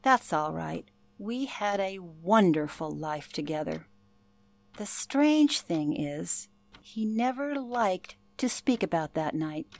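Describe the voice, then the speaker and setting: A woman playing a gracious elderly lady in a radio play, speaking politely and gently as she looks back. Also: lowish voice, animated, slow, creaky, no room sound, heavily acted. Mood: wistful. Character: gracious elderly woman reminiscing about a night in 1938.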